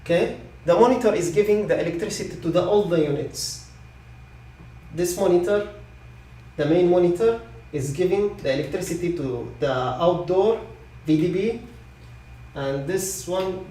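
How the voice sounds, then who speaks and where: A man's voice: a little high, normal speed, warm tone. Guy w non-native english doing online tech training, repeats stuff, reassuring, bit far from the mic, echoey. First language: not English. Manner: repeats points, often checks with "okay?". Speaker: technical trainer. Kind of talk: live online product training. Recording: echoey room, distant microphone.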